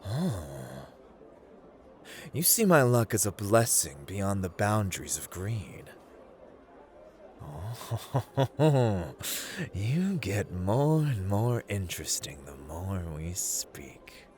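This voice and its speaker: A man's voice: very animated, very slow, a little hiss or hum, heavily acted. A man playing a playful, haughty god of luck in an ASMR roleplay, theatrical and teasing, drawling his words as he addresses the listener. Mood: amused, intrigued. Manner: theatrical, teasing, drawling, swinging between hushed and booming.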